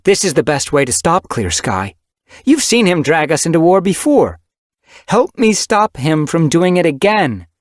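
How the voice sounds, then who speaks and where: A man voicing a hot-headed she-cat clan leader who speaks forcefully and commandingly. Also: fairly high pitch, very animated, a little slow, dry recording, clean studio sound, pitched above the performer's own voice. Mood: insistent.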